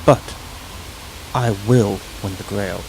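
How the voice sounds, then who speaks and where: A man's voice: fairly low pitch, slow pace, vocal fry, noisy background. A man playing an earnest, desperate protector of a child in a comic anime parody. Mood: grimly determined.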